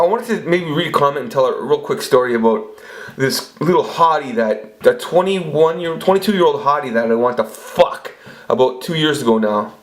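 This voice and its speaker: A man in his forties telling crude, rambling stories in a casual monologue, swearing often and restarting his sentences. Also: mid-range voice. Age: 40s. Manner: crude, profane, rambling storytelling with frequent restarts. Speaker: vlogger.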